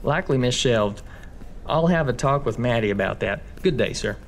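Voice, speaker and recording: A man plays a gossipy librarian who can't leave a patron alone. His voice is mid-pitched and plain, chatty and courteous. It's a horror audio drama. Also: animated, normal speed, slight background noise, natural voice. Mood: friendly nosy. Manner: chatty, overly polite. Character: chatty nosy male librarian, polite, talkative.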